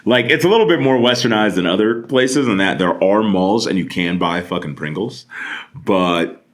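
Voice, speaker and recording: Deep-voiced man swapping slangy stories. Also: moderate pace. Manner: profane, slangy, animated exaggeration. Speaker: podcast guest. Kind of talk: casual comedic storytelling.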